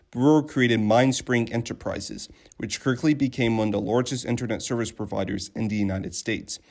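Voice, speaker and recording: A man's voice: mid-range voice, darkish tone. A young man narrating a scripted news segment as a student reporter. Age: young adult.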